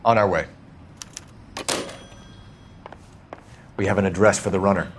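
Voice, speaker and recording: Male actor for commanding American detective in a period crime game: low voice, rapid clipped delivery, no nonsense. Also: fairly even intonation, a little hiss or hum, natural voice. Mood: brisk, urgent. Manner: clipped, authoritative, businesslike. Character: serious, commanding male police detective, proud and businesslike.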